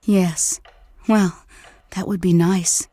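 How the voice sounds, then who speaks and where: A woman playing a caring, worried woman at a bedside in a video game, gentle and earnest. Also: lowish voice, fairly even intonation, extremely slow, no echo. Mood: tender, hopeful. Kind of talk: video game cutscene voice acting.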